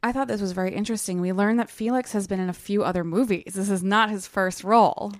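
A woman's voice: medium pitch, fairly even intonation, fast talker, no echo, clean studio sound. A woman co-hosting a podcast, recapping a book in an informal, playful chat. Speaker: podcast co-host.

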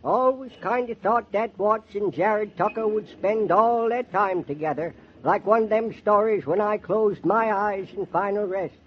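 An actor playing a crusty, folksy old farmer with a rural American accent and a cantankerous drawl in a 1940s radio drama. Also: male voice, high voice, measured pace, few pauses, slight background noise. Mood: wistful grumbling. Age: older. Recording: dull, muffled 1940s radio recording.

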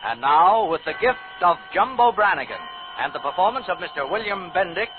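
A man's voice: high-pitched, sing-song, brisk, background noise. A man announcing a 1950s radio thriller in a formal, dramatic, scripted style. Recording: thin, narrowband 1950s radio recording. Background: loud dramatic music.

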